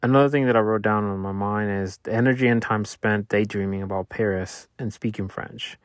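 A man in his thirties, a native Spanish speaker, reflects on his week in a relaxed monologue. His voice sits low, and he often pauses and restarts as he thinks. The recording is close and dry, with no room sound. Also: no room sound. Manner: thoughtful, halting, restarts often. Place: Louisiana.

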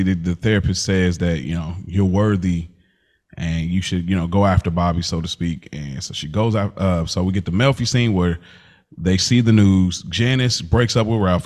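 Male podcast host, low-pitched, informal and halting, running through a recap conversationally. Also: darkish tone. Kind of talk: casual, loosely structured recap.

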